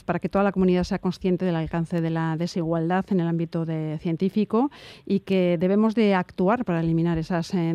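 A woman's voice: fairly low pitch, dark tone, no echo. Radio host woman with a dry studio sound. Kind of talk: radio interview.

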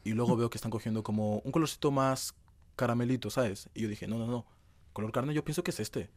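A man's voice: mid-range voice, fast, no room sound. A young man, giving conversational answers as an interview guest. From Madrid, Spain. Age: young adult.

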